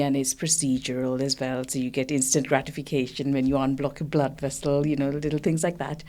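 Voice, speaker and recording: A woman's voice: very deep voice, dark tone. A woman in her thirties from Sri Lanka, a cardiology researcher talking casually in an interview, hesitant with many fillers and restarts.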